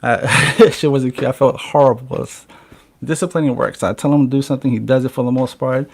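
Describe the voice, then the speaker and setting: A man's voice: medium pitch, fairly quick, gravelly. A man hosting a casual podcast, talking bluntly and swearing freely. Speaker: podcast host.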